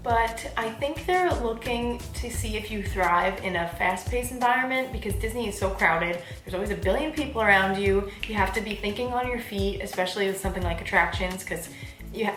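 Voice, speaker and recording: A woman in her twenties giving casual advice in a chatty vlog, with a bubbly, animated, informal manner. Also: mid-range voice, fairly quick. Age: 20s. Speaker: vlogger giving application advice. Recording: roomy echo, faint background music.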